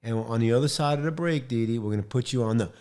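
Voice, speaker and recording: Middle-aged male podcast host, upbeat and joking, quick to chime in, close studio mic, home studio. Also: medium pitch, a little mellow. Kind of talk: casual interview podcast. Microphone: studio mic on boom arm, headphones.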